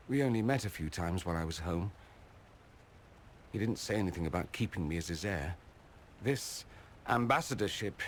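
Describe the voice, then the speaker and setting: Suave male mage ambassador, played by an actor, video game cutscene, arch wit, very expressive pitch, faint background noise. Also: close to an everyday voice. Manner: arch, eloquent, teasing.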